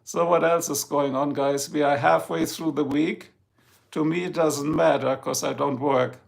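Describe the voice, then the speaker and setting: An older man with a German accent hosts a loose, joking live chat, drifting between topics and greeting his viewers. His voice is heavily gravelly, with plenty of fry, and it sits a little higher than most men's. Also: steady tone, a little slow. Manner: rambling, jokey, chatting with viewers. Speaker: live stream host. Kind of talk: casual chat. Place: home, in Ohio.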